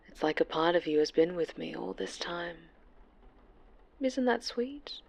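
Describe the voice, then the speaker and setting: A woman voicing an obsessive, vengeful superhero villain in a horror ASMR roleplay, sweetly menacing and breathy, often slipping into whispers. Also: lowish voice, darkish tone, full character voice. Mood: taunting, intimate, then playful, teasing.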